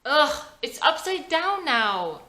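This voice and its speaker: A woman's voice: sits high, sing-song, slow pace, bright, forceful tone, pitched above the performer's own voice, heavily acted. A woman playing a nerdy ten-year-old boy in a script reading, whiny and insistent, anxious but cocky. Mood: whiny, urgent. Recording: roomy echo.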